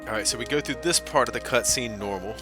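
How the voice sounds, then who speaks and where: A man's voice: mid-range voice, quick pace, over music. A man chatting casually with his live-stream chat while playing a game, talkative and self-deprecating. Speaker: speedrunner streamer.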